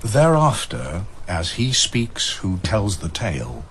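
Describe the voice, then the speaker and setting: Low-voiced man narrating epic verse. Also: huge pitch swings, a little hiss or hum, poor audio. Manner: measured, solemn, storytelling. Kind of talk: scripted dramatic verse narration.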